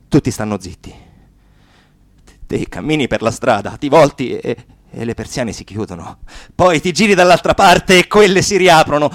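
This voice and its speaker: High-pitched, theatrical impassioned activist. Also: male voice, fairly bright tone, no room sound, exaggerated voice. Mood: hushed, bitter. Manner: dramatic, emphatic, mocking. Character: fervent satirical male anti-mafia activist telling his story. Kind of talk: theatrical radio monologue.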